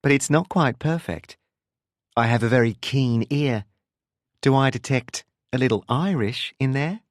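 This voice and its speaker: A man voicing a curious, persistent woman who probes gently in a narrated novel. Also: mid-range voice, unhurried, dry recording, studio quality, pitched above the performer's own voice, lightly acted. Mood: serious, probing. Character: curious, persistent young woman, probing and smoking.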